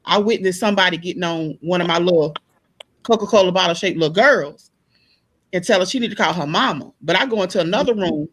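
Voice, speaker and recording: A woman with a loud speaking voice, talking animatedly in a casual group discussion, acting out the exchanges she retells. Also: lowish voice, fast, slight background noise. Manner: animated storyteller, acts out quoted exchanges.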